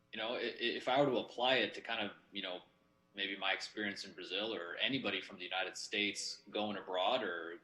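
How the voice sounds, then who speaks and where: A male guest pastor in his thirties whose first language is Romanian speaks in a measured, thoughtful way with a lowish voice. He is talking over a video call from a bedroom at home. The audio sounds roomy and echoey. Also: bright, forceful tone. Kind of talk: conversational Bible discussion.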